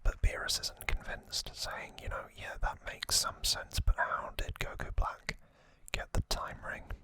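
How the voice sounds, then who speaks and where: Man whispering slowly in ASMR style. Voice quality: breathy unvoiced whisper. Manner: soft, unhurried, deliberate short phrases. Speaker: ASMR channel host. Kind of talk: whispered ASMR story recap.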